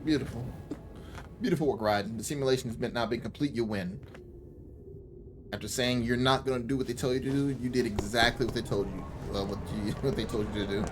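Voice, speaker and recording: A man's voice: mid-range voice, steady tone, a little fast, background noise. A man hosting a let's play with casual, joking and sarcastic commentary. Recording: clear music and game audio behind. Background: game music.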